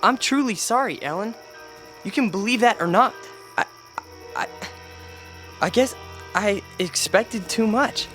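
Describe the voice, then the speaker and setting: An actor playing a conscience-torn young male alien posing as human in a radio drama, earnest and halting. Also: high voice, animated, a bit bright, soft background music. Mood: hurt, defiant.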